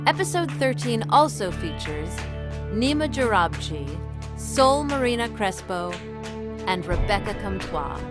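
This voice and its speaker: A woman's voice: medium pitch, sing-song, measured pace, over music. A woman announcer reading scripted credits for an audio drama.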